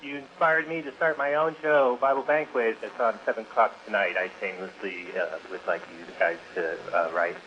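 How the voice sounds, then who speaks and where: A man's voice: on the low side, fairly even intonation, fairly quick, hardly any pauses, a bit bright. A man calling in to a show, talking casually in a hesitant, friendly way. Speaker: caller. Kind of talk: casual phone call. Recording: dull, muffled phone line.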